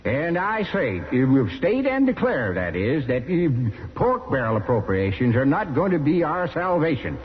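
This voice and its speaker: Here is a man acting out a pompous old political orator from vintage radio. The voice is pitched high and swings widely, halting and blustering through the speech. The recording is dull and aged. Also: wildly swooping pitch, big-room sound. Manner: stammering, bombastic, self-correcting.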